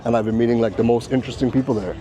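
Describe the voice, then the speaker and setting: A man from Trinidad hosting a casual vlog, chatty and excitable, laughing often as he talks at a rooftop bar. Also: mid-range voice, very fast, soft background music. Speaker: vlogger host. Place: busy rooftop bar and restaurant in a city.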